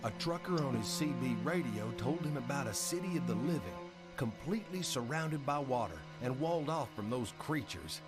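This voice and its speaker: An actor playing a weary male survivor of a zombie apocalypse, narrating his journey in a measured, somber way for a game cutscene. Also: medium pitch, expressive, close to an everyday voice. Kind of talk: scripted first-person game cutscene narration. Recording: loud music behind the voice. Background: loud music.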